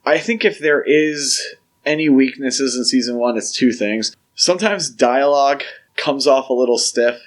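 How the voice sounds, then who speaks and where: A man's voice: mid-range voice, moderate pace. A man co-hosting a podcast, giving his opinions in an informal, conversational review chat. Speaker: podcast co-host.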